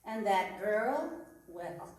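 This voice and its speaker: Woman as a slow, solemn ghostly spirit, very echoey. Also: mid-range voice, lively intonation, darkish tone. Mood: stern, solemn. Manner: solemn, deliberate, commanding. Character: solemn, stern ghostly spirit showing shadows of the past. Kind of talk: radio play acting. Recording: very echoey room, far from the mic.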